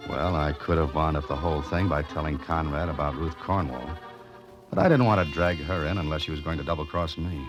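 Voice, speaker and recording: Wisecracking amateur detective, very low voice, sardonic and clipped, old radio mystery with music. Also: male voice, fairly quick. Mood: wry narrating. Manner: sardonic, hard-boiled, clipped. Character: wry, unflappable, wisecracking male amateur sleuth. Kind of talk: 1940s radio drama acting, first-person narration.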